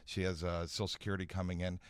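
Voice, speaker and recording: A man speaking informally as an expert guest in a radio interview, explaining haltingly, repeating phrases and often checking for agreement. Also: low-pitched, little pitch movement, smooth, no room sound. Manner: hesitant explaining, repeats phrases, seeks agreement with "right?" and "you know". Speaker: guest expert on home accessibility. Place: radio studio.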